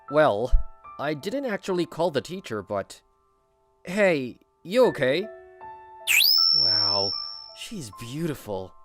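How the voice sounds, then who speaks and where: An earnest, slightly smug teenage schoolboy played by a man tells his own story in a manga dub. His pitch moves a lot, and he speaks slowly. Music runs underneath. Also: very animated. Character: kind, self-assured teenage schoolboy narrating. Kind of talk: anime dub voice acting and narration. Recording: music bed behind voice.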